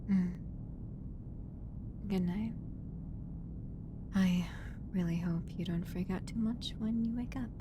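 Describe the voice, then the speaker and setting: A woman playing a caring human medic on an alien spaceship in a roleplay audio drama, with a gentle, hesitant, fussing manner. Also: slow pace, pauses often, close to an everyday voice. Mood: sleepy, affectionate. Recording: noisy audio with low rumble.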